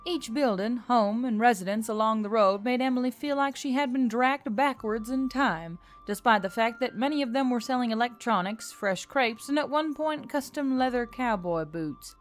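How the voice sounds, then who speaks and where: A woman's voice: on the high side, normal speed, few pauses. A woman reading scripted fantasy fiction aloud as the narrator. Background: faint music.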